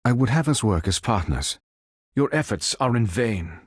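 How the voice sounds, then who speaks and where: Formal android superhero game voice, calm and measured, bit husky, really dry close sound. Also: male voice, lowish voice, dry recording. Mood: courteous, formal, then stern, defiant. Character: calm, stately male android superhero with formal, analytical temper. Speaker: video game character. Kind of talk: scripted game voice lines.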